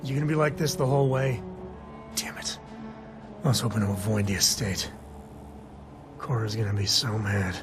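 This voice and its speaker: An actor playing a guarded, weary space cowboy in a video game, speaking in a casual, folksy, reluctant way. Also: male voice, fairly low pitch, moderate pace, pauses often, over music, lightly acted. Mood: exasperated.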